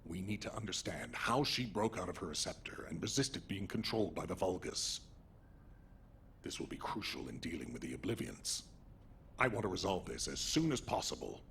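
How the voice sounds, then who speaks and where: An actor playing a serious, urgent military commander who briefs his troops in an authoritative, deliberate way for a video game. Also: low voice, animated, gravelly, fairly bright tone, slight background noise, full character voice. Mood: concerned, firm.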